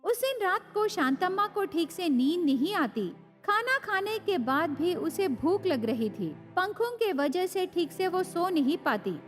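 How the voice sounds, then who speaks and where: A woman's voice: very high-pitched, very animated, unhurried, hardly any pauses. A woman narrating a scripted moral story for children. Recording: long reverb with faint music.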